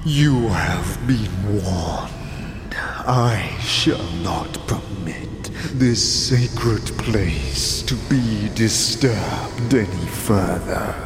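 An actor playing an ancient ghost guarding a mausoleum in a fantasy audio drama, wrathful and grieving, speaking in grave, halting archaic fragments through cavernous reverb. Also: male voice, lowish voice, expressive. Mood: wrathful, commanding. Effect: cavernous long reverb. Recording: long reverb under loud music.

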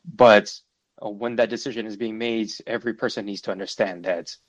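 A man whose first language is Russian hosts a solo political commentary podcast, talking in a measured, analytical way with many fillers and restarts. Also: lowish voice, steady tone, dry recording. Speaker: podcast host.

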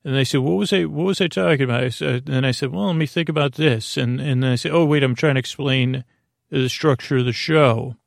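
A man with a creaky voice hosting a sleep podcast in a lulling, friendly, meandering and halting way. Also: medium pitch, no room sound, studio quality. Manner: lulling, meandering, circular tangents. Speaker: podcast host. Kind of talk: rambling bedtime sleep podcast monologue.

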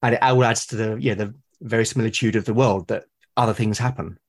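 A man with a British accent, a podcast guest talking casually and at length, often pausing to rephrase his thoughts. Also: fairly low pitch, steady tone. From Britain. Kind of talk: casual conversation about solo roleplaying.

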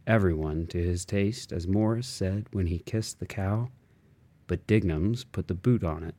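A man reads literary fiction in a low, relaxed voice. His delivery is unhurried and musing, moving through fragmented thoughts with expressive shifts. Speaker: audiobook reader. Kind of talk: literary audiobook narration of interior monologue.

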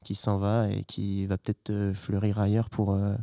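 A young man, a hip hop artist, talking in a casual interview in a soft-spoken, halting and reflective way. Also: lowish voice, monotone, creaky, no room sound, clean studio sound. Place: artists' workshop.

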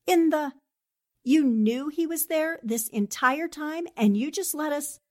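A woman's voice: high-pitched, unhurried, a little mellow. A woman playing a determined, eager newspaperwoman in the style of 1940s radio drama. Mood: puzzled, exasperated. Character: determined, eager newspaperwoman investigating a haunted house.

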